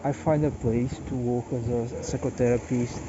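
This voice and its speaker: A tired Brazilian man in his late thirties with a Portuguese accent, talking slowly and haltingly to camera in a personal monologue, often restarting his words. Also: mid-range voice, fairly even intonation. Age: 30s. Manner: hesitant, halting, repeats words. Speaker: vlogger. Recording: dull lo-fi audio with background noise.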